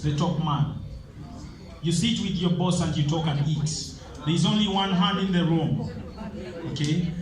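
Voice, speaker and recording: A man from Uganda, presenting a motivational seminar and drilling the audience with call-and-response questions. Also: sits high. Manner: energetic, rousing, drills the crowd with repeated questions. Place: large hall with audience. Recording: echoey hall, distant mic, crowd noise.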